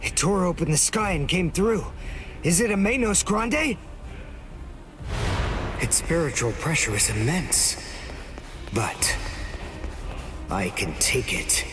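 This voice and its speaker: An actor in an English anime game dub playing a proud, determined young archer who sounds analytical and resolute. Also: male voice, fairly high pitch, very animated, slow, pauses often. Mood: grim resolve. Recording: echoey, music behind.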